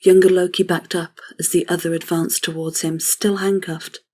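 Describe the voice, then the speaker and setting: Casting: female narrator for fantasy audio drama; lowish, breathy, restrained and even delivery. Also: normal speed. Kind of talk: scripted dramatic story narration.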